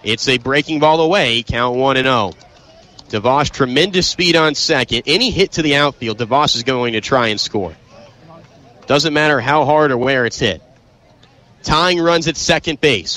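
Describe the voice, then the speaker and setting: A young American man calling live baseball play-by-play, emphatic and excitable on big plays. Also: fairly high pitch, moderate pace. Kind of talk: live sports commentary.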